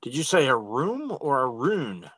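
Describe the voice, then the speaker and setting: A man's voice: medium pitch, very animated, measured pace, a bit bright, husky, dry recording. A man talking casually as a player in a tabletop roleplaying game.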